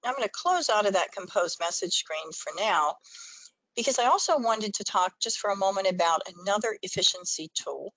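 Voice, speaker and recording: A woman's voice: mid-range voice, lively intonation, fairly quick, fairly bright tone, no room sound, poor audio. A woman presenting a training webinar, explaining things step by step in an instructional screen-share style.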